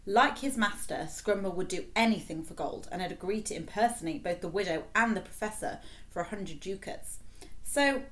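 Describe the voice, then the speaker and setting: A woman narrating a children's story in an expressive read-aloud style. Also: fairly low pitch, a little fast. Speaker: story reader.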